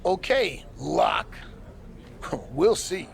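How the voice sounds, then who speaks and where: A man playing a sly, smug wrestling manager in a video game cutscene, with a gravelly voice and taunting delivery. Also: on the high side, sing-song, very slow, slight background noise, exaggerated voice. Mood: slick, mocking. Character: sly, smug male wrestling manager scheming against a champion.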